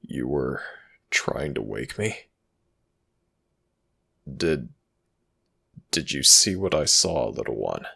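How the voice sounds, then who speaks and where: A man playing an ancient, protective god in an intimate ASMR audio roleplay, speaking in a halting, intense way with a gravelly, breathy voice. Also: rumbling low, very animated, pushed and bright, heavily acted. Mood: subdued, tender. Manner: halting, drawn-out, intense delivery.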